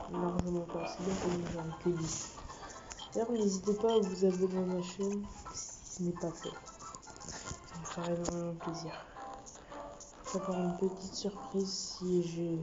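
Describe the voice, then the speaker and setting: Low-voiced woman rambling over game music. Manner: rambling, mumbles asides under the breath. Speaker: let's play gamer. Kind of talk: casual gameplay commentary. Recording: dull lo-fi with loud game music. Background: video game music.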